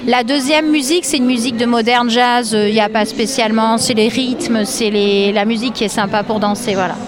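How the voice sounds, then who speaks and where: An outspoken woman from the dance group explains her view frankly and at length. Her voice sits a little high, and a loud crowd fills the background. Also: fairly even intonation, brisk.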